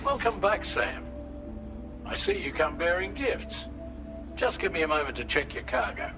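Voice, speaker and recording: A friendly ship mechanic in a video game, chummy and upbeat. His voice sits fairly high for a man, with clearly audible music playing behind it. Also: very animated, normal speed. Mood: welcoming. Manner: chummy, businesslike, appreciative. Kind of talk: scripted game dialogue.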